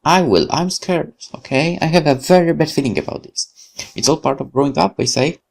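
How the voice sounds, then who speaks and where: A man whose first language is Polish, hosting a let's play and reading a horror visual novel aloud with lively asides and self-corrections. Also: on the high side, fairly quick, gravelly.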